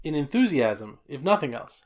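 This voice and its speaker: A loud, jolly man chatting on a podcast. His voice sits a little high for a man. He stumbles and restarts his sentences often, but keeps a cheerful, easygoing tone. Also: very animated, moderate pace. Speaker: podcast co-host. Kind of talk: casual chat, reading a listener letter.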